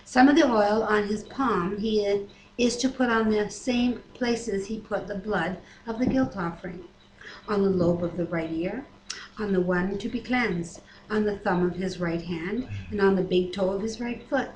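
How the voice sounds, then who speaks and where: A woman reading scripture aloud in a plain, slightly halting way, adding casual asides, recorded on a webcam microphone. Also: medium pitch, a little mellow. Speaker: Bible reader and commenter. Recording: echoey room, noisy webcam audio.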